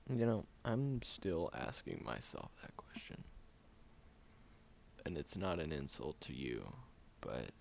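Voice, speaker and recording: A man playing a prickly, guarded young loner who grudgingly cares, in an intimate one-sided audio roleplay, sardonic and hesitant with breathy murmurs. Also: very deep voice, huge pitch swings, slow pace, darkish tone, exaggerated voice. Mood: reluctantly vulnerable. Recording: muffled, lo-fi, noticeable background hiss.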